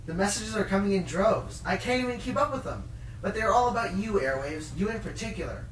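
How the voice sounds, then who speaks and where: A man playing a chummy, excitable pony radio crew member in a radio play, chatty and halting. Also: high voice, recorded from a distance. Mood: giddy, confiding. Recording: roomy, off-mic, faint noise.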